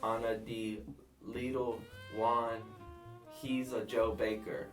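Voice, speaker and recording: A man voicing an immigrant prisoner in a story, halting in broken English with a resonant voice. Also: fairly even intonation, a bit bright. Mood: calm, confiding. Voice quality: deep, resonant. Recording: echoey room, off mic, guitar music behind.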